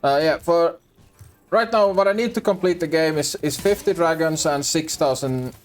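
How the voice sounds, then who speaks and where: A man's voice: on the high side, moderate pace, soft background music. A young man with a Finnish accent, whose first language is Swedish, chatting casually and hesitantly on a gaming stream with lots of restarts. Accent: Finland Swedish. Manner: rambling, hesitant, frequent restarts and laughs. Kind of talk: casual gaming livestream chat.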